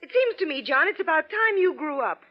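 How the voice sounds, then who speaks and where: High-voiced, exasperated young lady. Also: very animated, fairly quick. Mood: indignant. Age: young adult. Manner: indignant, scolding. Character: exasperated, proper young heiress of twenty, scolding. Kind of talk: 1940s radio acting. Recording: muffled vintage radio recording.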